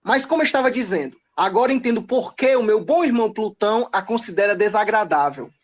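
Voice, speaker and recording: A woman's voice: medium pitch, lively intonation, fast, lightly acted. A woman playing a haughty, self-justifying male king of the gods in a pompous, declamatory comedy audio drama. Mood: indignant scolding. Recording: music behind the voice. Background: music.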